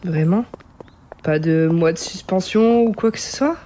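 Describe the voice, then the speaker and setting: A woman's voice: on the low side, measured pace, warm tone, a little hiss or hum, close to an everyday voice. A woman playing a caring, persistent teenage schoolgirl in an amateur webtoon dub, casual and earnest. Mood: curious. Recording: voice under clearly audible music.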